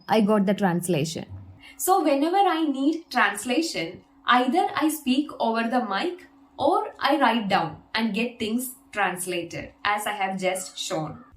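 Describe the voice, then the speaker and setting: A woman's voice: on the high side, reverberant. A woman whose first language is Malayalam, teaching an English lesson and patiently demonstrating an app step by step. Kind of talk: video lesson.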